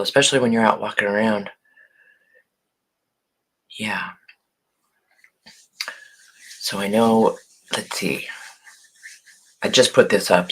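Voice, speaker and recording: A hoarse woman who has partly lost her voice, chatting casually on a live stream and greeting viewers by name. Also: a bass voice, rough recording. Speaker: online shop owner hosting a live video.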